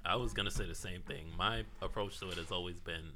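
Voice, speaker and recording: A man's voice: deep voice, fairly quick, bright, forceful tone, slight background noise. A man talking casually as a panelist in a group chat. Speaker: panelist on a talk show.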